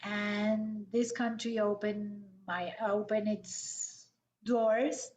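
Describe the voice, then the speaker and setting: A middle-aged woman from Colombia, hosting an interview over a video call in a measured, welcoming way. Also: mid-range voice, level tone, darkish tone. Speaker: host. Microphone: video call webcam. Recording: echoey, dull webcam audio.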